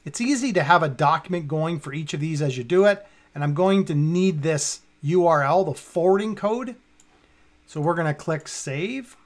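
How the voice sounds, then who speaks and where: A man's voice: fairly high pitch, expressive, normal speed. A man in his fifties from Canada casually talking through a step-by-step screen tutorial as he does it. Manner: talks himself through each step. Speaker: tutorial presenter. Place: indoors, at a computer.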